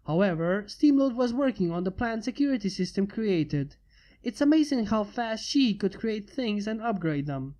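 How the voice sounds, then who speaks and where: A woman reading a fan story aloud in plain, steady narration, lightly voicing the characters. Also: medium pitch. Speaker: fanfic reader.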